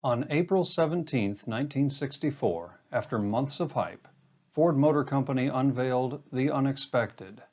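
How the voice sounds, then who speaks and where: Man, scripted documentary narration, monotone, voice-over, dull lo-fi sound. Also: medium pitch. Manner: even, monotone delivery. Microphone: home-recorded voice-over.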